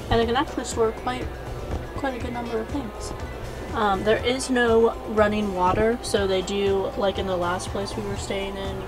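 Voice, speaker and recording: A woman around her thirties talking casually to the camera as a travel vlogger. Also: medium pitch. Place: inside a car.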